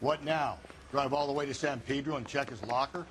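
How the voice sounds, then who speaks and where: An actor playing a blunt, world-weary 1940s police detective in a video game, with dry, slangy delivery. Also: male voice, medium pitch, fairly even intonation, a little fast, fairly bright tone, a little hiss or hum, close to an everyday voice, poor audio. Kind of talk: video game cutscene acting.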